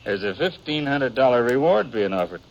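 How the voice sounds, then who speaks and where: An eager young cowhand in a radio western, talking in a folksy American Western drawl and hedging as he goes. The voice sits at a middling pitch. The old recording is dull and plainly hissy. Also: very animated, moderate pace. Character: eager young cowboy posing as bandit hunter, smooth talker. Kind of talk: 1950s radio western acting. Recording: muffled, noisy 1950s radio recording.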